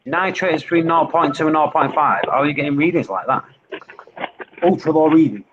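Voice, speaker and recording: A British man with a slightly high voice chats casually about his hobby. The audio is dull and lo-fi, with faint noise behind him. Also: brisk. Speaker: community chat participant. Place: online voice chat.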